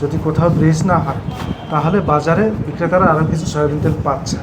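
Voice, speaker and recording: A man's voice: a little high, steady tone, fast. A Bangladeshi man speaking as a consumer rights official, formally questioning and explaining rules during an inspection. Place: recorded on location at market shops. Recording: busy outdoor market street noise.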